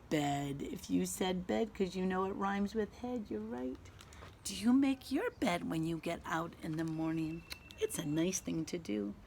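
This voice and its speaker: A woman teacher doing an interactive read-aloud for children outdoors, expressive, asking questions and pausing for answers. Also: medium pitch. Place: outdoors in a back garden. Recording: faint outdoor background noise.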